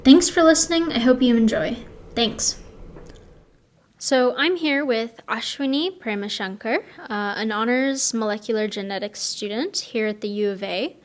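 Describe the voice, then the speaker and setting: A woman's voice: fairly high pitch, moderate pace. A young woman, hosting and interviewing for a radio piece. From born in Sri Lanka, grew up in Canada. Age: young adult. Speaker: interviewer and host.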